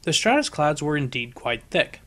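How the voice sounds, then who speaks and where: A man's voice: on the high side, very animated, moderate pace, sharp tone. A man narrating a scripted story as an audiobook reader.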